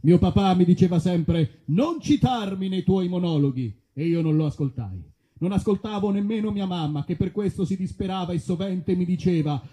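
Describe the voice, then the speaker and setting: Man as a hapless, highish-voiced comic superhero. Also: moderate pace, mellow, natural voice. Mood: self-mocking. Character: hapless comic Batman introducing himself. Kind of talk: comic monologue.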